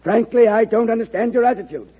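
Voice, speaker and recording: An actor playing an exasperated, excitable male political adviser in a 1940s radio drama, with a rough voice. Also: very high-pitched, fast talker. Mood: frustrated. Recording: muffled old radio recording.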